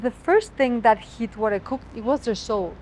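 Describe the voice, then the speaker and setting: A woman's voice: on the high side, moderate pace, a little mellow, a little hiss or hum. A woman with a Mexican accent, native Spanish speaker, a chef talking expressively outdoors and sometimes searching for words. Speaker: chef, guest. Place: outdoors on a city street.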